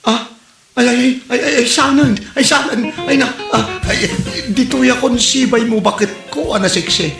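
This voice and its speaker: A man's voice: very high-pitched, normal speed, gravelly, music in the background. A man playing a hapless, complaining tricycle driver in a comedy drama, stammering and exclaiming in exasperation. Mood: flustered. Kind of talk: comedy radio drama acting. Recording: roomy, echoey sound.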